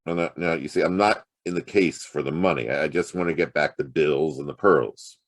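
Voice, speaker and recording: An actor playing a wry, streetwise private detective in a hard-boiled audio drama, with a sardonic, conversational delivery. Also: male voice, extremely low pitch, moderate pace. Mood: earnest bargaining. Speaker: actor narrating and playing the lead.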